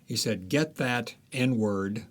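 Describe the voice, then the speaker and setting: A man's voice: medium pitch, takes it slowly. Hesitant man, an author being interviewed. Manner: hesitant, many restarts. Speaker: author, guest. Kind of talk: casual interview chat.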